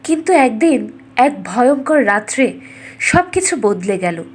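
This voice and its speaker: A woman narrating a scripted fairy tale as a storyteller, dramatic and expressive. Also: a little high, unhurried, husky.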